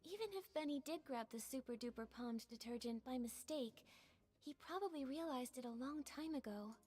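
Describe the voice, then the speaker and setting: A woman's voice: sits high, moderate pace, darkish tone. A woman voicing an earnest young female detective companion in a video game, reasoning things through.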